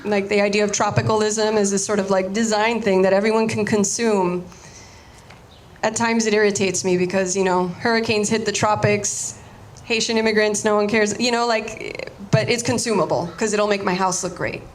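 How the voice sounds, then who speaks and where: A woman's voice: mid-range voice, fairly even intonation, moderate pace, a little hiss or hum. A Cuban-American woman whose first language was Spanish, giving a casual artist talk and often restarting her sentences. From United States, Cuban-American family.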